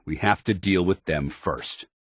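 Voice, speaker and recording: An actor playing a stern, honor-bound soldier in a video game, speaking in a formal, commanding and earnest military way. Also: male voice, sits low, measured pace, no echo, studio quality. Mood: firm, steadying. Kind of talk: video game companion dialogue.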